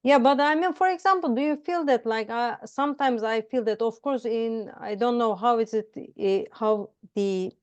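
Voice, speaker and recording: A woman's voice: on the high side, normal speed, hardly any pauses, a little mellow, smooth, no echo. A woman hosting a casual interview chat, feeling her way through roundabout questions padded with "I mean, like.". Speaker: interview host. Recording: close dry mic, dull lo-fi sound.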